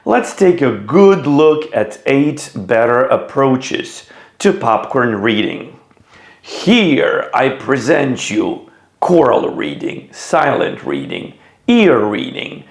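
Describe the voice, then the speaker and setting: Male teacher for a scripted explainer: slow, deliberate, slightly gravelly, echoey room. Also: medium pitch. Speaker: teacher presenting an educational video.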